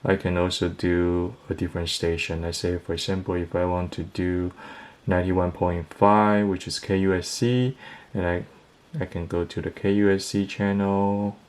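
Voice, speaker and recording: A man's voice: deep voice, level tone, normal speed, darkish tone, clear, resonant tone. A man giving a casual product review, explaining things step by step in a hesitant way.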